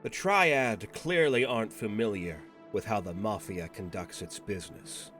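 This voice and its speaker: A man playing a formal, cautious subordinate agent in an audio drama, talking in a measured, questioning manner. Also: lowish voice, a bit bright. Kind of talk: scripted audio drama roleplay.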